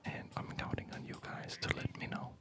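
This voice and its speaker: Whispered, rambling ASMR game commentary. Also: fairly quick. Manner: rambling, hesitant. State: tired. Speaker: ASMR video game player and host.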